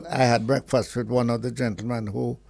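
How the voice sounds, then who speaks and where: A man's voice: medium pitch, flat delivery, brisk, creaky, a little mellow. A Jamaican man in a bantering debate as a guest, talking colloquially and slipping between patois and English. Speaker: guest, political speaker.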